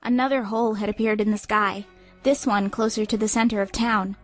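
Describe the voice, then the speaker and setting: A woman's voice: mid-range voice, fairly even intonation, brisk, soft background music. A woman reading scripted, dramatic audiobook narration.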